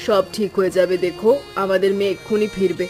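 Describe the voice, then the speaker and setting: A woman's voice: medium pitch, fairly even intonation, fast talker, a little mellow, soft background music, close to an everyday voice. Caring village woman voiced by an actress. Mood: soothing. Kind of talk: Bengali animated story dialogue.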